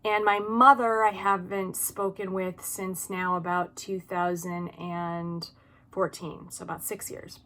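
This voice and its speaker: A woman's voice: on the low side, little pitch movement, unhurried, sharp tone, poor audio. A woman in her early forties hosting an informal advice video, talking earnestly and with emphasis from personal experience. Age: early 40s. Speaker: video channel host.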